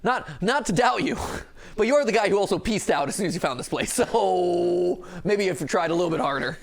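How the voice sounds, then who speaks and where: A man's voice: high-pitched, lively intonation, brisk, gravelly. A young adult man giving casual, joking reaction commentary as a show host.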